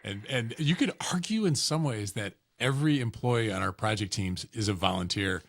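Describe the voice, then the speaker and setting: A man's voice: lowish voice, lively intonation, normal speed, creaky, fairly bright tone. A man hosting an interview podcast, thoughtful and conversational, often restarting his sentences. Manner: thoughtful, with frequent restarts and affirmations. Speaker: podcast host.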